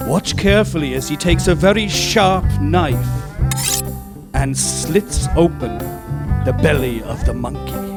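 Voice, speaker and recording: Man, slightly high pitch, slow pace, theatrical bedtime-story recital, talking as to children, loud music. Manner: measured, addressing listeners like small children. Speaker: poetry performer.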